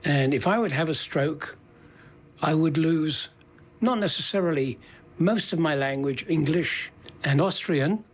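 An older man interviews in a husky, slightly high voice. He is conversational and probing, thinking aloud as he frames his questions. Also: a little slow.

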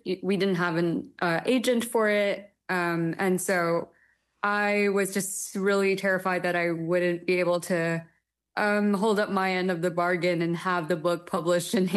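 Hesitant young woman translator from Taiwan. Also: mid-range voice. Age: young adult. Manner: hesitant, thoughtful, many ums. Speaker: literary translator, interview guest. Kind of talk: casual interview answers.

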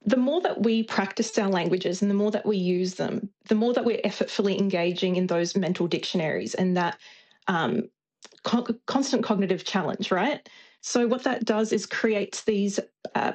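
A woman's voice: medium pitch, normal speed, a little mellow, slightly rough. A woman researcher, a guest on a radio interview, explaining thoughtfully.

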